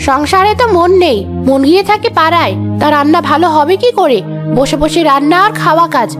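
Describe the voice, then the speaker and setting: Woman acting a shrill-pitched, nagging older mother-in-law. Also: brisk, lightly acted. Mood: scolding. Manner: nagging, contemptuous. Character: cruel, scolding older village mother-in-law. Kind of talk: Bengali animated horror story acting. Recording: music under the voice.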